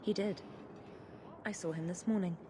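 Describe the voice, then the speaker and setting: A man's voice: high-pitched, steady tone, moderate pace, natural voice. Man playing a guarded young templar recruit. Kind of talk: video game dialogue acting. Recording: clear outdoor ambient noise.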